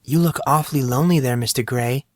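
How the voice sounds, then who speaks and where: A man's voice: mid-range voice, fast talker, dry recording, studio quality, natural voice. A man voicing a confident, playful teenage girl with a poised, teasing manner in an audiobook. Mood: playful, inviting.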